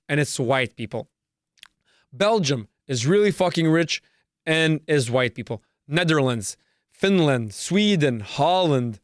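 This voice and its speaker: A man's voice: a little high, no echo, clean studio sound. A man from Canada whose first language is Serbo-Croatian hosts a solo podcast, delivering a profane, repetitive and emphatic rant. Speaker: podcast host.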